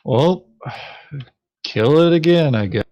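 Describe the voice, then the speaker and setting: A man's voice: a little high, animated, slow pace, mellow, no room sound. A man chatting casually during a board game, blunt and laid-back as a co-player.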